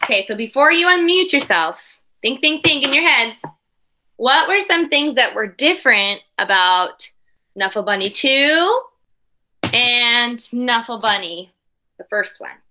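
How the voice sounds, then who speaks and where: A woman doing an expressive, dramatic children's read-aloud, pausing with asides to explain things simply like a teacher. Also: a little high, a little hiss or hum, rough recording. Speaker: teacher reading a picture book to young pupils.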